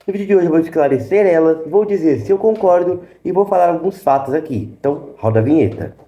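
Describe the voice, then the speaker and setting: A man's voice: a little high, lively intonation, fast, dark tone, highs cut off. A Brazilian man hosting a casual review video, explaining his opinions directly to viewers. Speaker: YouTube host. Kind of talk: casual review commentary to camera. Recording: roomy, echoey sound.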